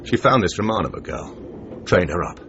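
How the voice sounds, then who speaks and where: An actor playing a smug Soviet assassin who poses as a chummy English gentleman, taunting in clipped, mock-genteel slang in a radio drama. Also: low voice, lively intonation, normal speed. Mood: coolly menacing. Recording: noisy, muffled radio broadcast recording.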